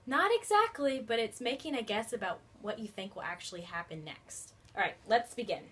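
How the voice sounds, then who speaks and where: Woman reading a picture book to kids like a teacher, highish voice, expressive, faint noise behind. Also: brisk. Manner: expressive, addressing young children. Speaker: teacher reading aloud to children. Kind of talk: storybook read-aloud with questions.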